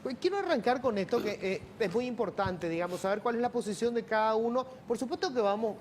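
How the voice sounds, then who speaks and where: Talk show host moderating a studio panel. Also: male voice, high-pitched, animated, fast talker, a little hiss or hum. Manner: conversational, interjects often.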